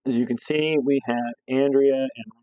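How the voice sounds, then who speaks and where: A hoarse man from a fire district staff, explaining a scheduling app step by step and checking in with "okay" as he goes. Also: medium pitch, fairly even intonation, moderate pace, dark tone, dry recording, lo-fi sound. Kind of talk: screen-recorded software walkthrough.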